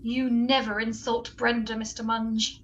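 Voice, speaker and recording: A woman playing a cool, brisk, tough ally in a comedy radio play, deadpan and commanding. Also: on the high side, highs cut off, a little hiss or hum, close to an everyday voice.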